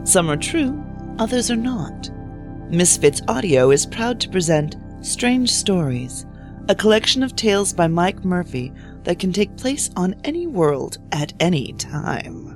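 A woman announcer giving dramatic scripted narration in a deliberate, mysterious storytelling manner. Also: lowish voice, very animated, a little mellow. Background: music.

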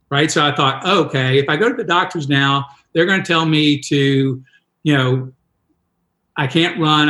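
A man's voice: a little high, fairly bright tone, reverberant. A man in his sixties, a triathlon coach, telling a self-deprecating story with many restarts in a podcast interview. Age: 60s.

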